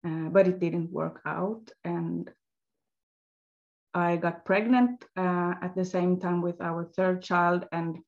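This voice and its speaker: A woman speaking slowly and haltingly, pausing to search for her words. Her lowish voice has a gravelly fry to it. The audio sounds dull and lo-fi. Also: level tone. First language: Swedish. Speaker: guest, health coach. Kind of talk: interview storytelling.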